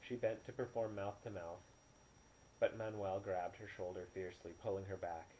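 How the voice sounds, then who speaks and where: Low, mellow man narrating, faint noise. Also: fairly even intonation, normal speed. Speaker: amateur storyteller. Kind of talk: audiobook narration. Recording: noisy, poor-quality home recording.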